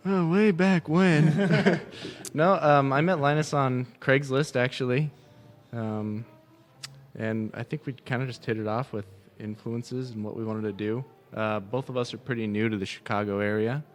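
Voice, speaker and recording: American male guitarist, medium pitch, informal podcast guest delivery with some joking. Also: fairly even intonation, a little slow. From United States, California and Florida. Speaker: band guitarist being interviewed. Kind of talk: casual, joking chat.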